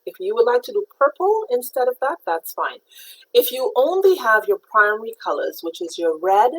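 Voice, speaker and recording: A woman's voice: a little high, big pitch swings, normal speed, rough recording. A middle-aged woman from Trinidad teaching a live painting lesson, explaining supplies step by step and greeting viewers by name. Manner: patient, step-by-step instruction. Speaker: art teacher.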